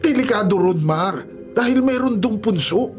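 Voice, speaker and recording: Stern older father-in-law, animated pitch. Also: moderate pace, faint music behind. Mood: grave warning. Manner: scolding, admonishing. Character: disapproving widowed father-in-law, older man, superstitious and stern. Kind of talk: Filipino radio drama acting.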